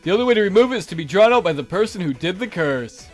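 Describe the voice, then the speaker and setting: A man's voice: high voice, sing-song, fast talker, faint music behind, pitched below the performer's own voice. A man voicing a bookish, ominous curse expert in a visual novel, explaining in a matter-of-fact way. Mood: cautioning.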